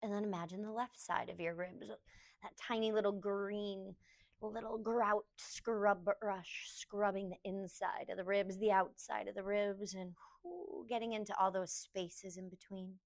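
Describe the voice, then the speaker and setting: A woman yoga teacher leading a guided meditation, giving gentle, unhurried instructions in short phrases with pauses between them. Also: mid-range voice, steady tone, gravelly.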